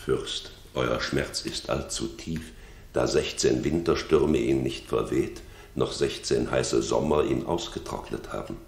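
A solemn courtier who counsels with gravity. His measured voice is gravelly and sits in a roomy 1960s radio drama recording. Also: rumbling low, full character voice. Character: grave, consoling male courtier of a king.

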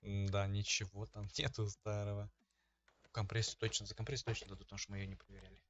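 A man's voice: fairly low pitch, level tone, fast, fairly bright tone, a little hiss or hum. A man giving casual let's-play commentary as a gaming host, talking informally and slangily as he thinks aloud. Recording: dull lo-fi microphone sound.

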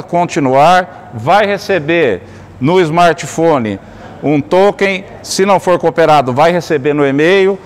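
Middle-aged man calmly walking through a demo. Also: fairly high pitch. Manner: steady explanatory tone, narrating each click. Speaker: company executive demonstrating a digital fair. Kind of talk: product walkthrough. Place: large indoor store.

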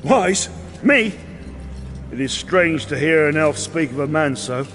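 An actor playing a weary, blunt mercenary captain in an audio drama, plain-spoken and used to command. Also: male voice, a little high, lively intonation, measured pace, fairly bright tone. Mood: wary, resigned.

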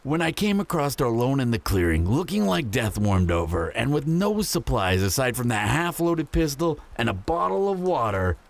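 A man's voice: medium pitch, vocal fry. A man playing a menacing, smug apocalypse bandit in an audio roleplay, taunting and mock-friendly with a drawl.

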